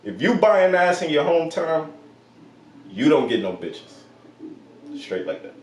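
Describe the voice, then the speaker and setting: A laid-back man co-hosting a casual podcast, his pitch moving up and down a lot. He laughs often. He sits a bit away from the mic in an echoey room with faint noise behind. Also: very animated, unhurried, distant mic. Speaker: podcast co-host. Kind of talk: casual chat.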